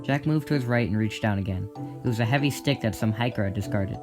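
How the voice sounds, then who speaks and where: Male narrator, lowish pitch, dramatic scripted storytelling, music underneath. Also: fast talker. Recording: music behind the voice. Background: clear music.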